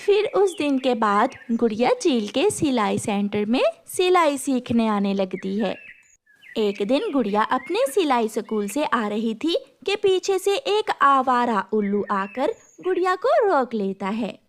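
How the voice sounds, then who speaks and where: A woman's voice: fairly high pitch, very animated, unhurried, a little hiss or hum. A woman narrating a scripted cartoon story for the listener.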